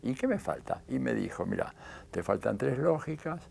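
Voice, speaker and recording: A man's voice: medium pitch, very animated, normal speed, creaky, darkish tone, a little hiss or hum. An older man with a Rioplatense Argentine accent, telling his story in an interview and acting out the dialogue he quotes.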